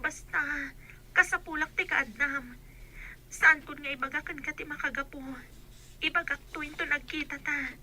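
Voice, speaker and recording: A woman acts out a young Ilocano village woman with a high voice. She sounds wary and anxious, in the style of a radio comedy drama. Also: fairly even intonation, normal speed, bright, forceful tone. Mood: pleading.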